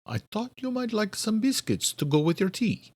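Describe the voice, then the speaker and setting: A guarded woman played by a male narrator in an audio thriller. The voice is lifted high, formal and clipped, with a dry, close sound. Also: big pitch swings, normal speed, darkish tone, no room sound. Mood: polite. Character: guarded Polish woman, a doctor's formal assistant.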